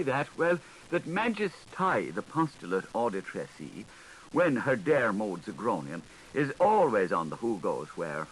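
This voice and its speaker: A man's voice: lowish voice, a bit bright, poor audio. A man reading literary fiction aloud as an audiobook narrator, in a theatrical, playful manner. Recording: older 1980s recording with audible noise.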